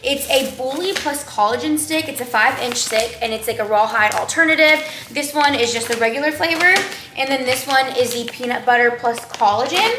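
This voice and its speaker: A high-voiced young woman with a twang chats through a shopping haul, rambling and filling gaps with "like" and "um". She is recorded by a camera on the counter in her kitchen, which sounds roomy and echoey. Clear household noise runs underneath. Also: moderate pace. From Texas. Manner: chatty, rambling, lots of likes and ums. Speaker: vlogger. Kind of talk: casual haul chat to camera. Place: home kitchen, indoors. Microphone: camera on the counter at a distance.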